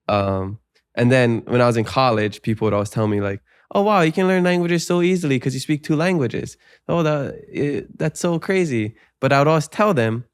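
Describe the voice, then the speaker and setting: A young man from Hawaii whose first language is Hawaiian, chatting on his podcast in a lowish voice. He jokes around and tells stories about himself in an easygoing way. His voice is recorded close and dry, with no room sound. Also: dry recording. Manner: joking, laughs often, reflective storytelling. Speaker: podcast host. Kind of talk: casual conversation.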